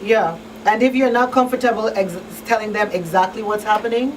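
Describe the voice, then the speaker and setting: A woman's voice: mid-range voice, brisk. A woman speaking conversationally as a talk show panelist, making her points emphatically with rhetorical questions. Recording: distant mic, faint background noise.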